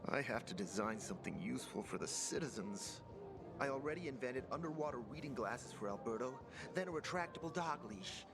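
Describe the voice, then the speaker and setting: An actor playing a nervous young male apprentice inventor who rambles and swings between gloom and excitement, over game music. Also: mid-range voice, brisk, gravelly. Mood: fretful. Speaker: apprentice craftsman. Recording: game soundtrack music behind.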